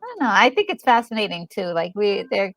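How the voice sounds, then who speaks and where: A woman's voice: medium pitch, very animated, fast talker, creaky, fairly bright tone, faint music behind. A woman chatting casually as a co-host, thinking aloud and rephrasing as she goes. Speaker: co-host commenting on a game. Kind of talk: casual chat.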